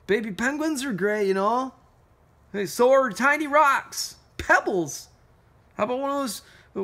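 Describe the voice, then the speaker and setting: A man's voice: a little high, bright, forceful tone, pitched above the performer's own voice. An exhausted storybook crayon read by a man, grumbling and exasperated. It sounds overworked and fed up as it airs its complaints. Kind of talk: children's picture book read-aloud.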